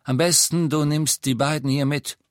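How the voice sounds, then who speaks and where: A man voicing a bitter old male tavern keeper who talks bluntly and scornfully, often slowly and with weight. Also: fairly high pitch, steady tone, no echo, clean studio sound, pitched above the performer's own voice, natural voice. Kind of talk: audiobook character voice.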